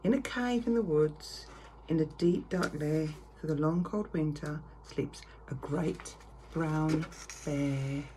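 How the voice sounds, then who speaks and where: A woman reading a picture story aloud to children with theatrical, drawn-out emphasis. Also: low-pitched, mellow, low-quality recording. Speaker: primary school reader. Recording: clear background noise.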